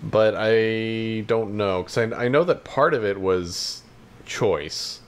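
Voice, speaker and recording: A man's voice: on the low side, slow pace, clean tone. A man co-hosting a casual podcast about games and films, with a blunt, informal way of talking. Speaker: podcast co-host.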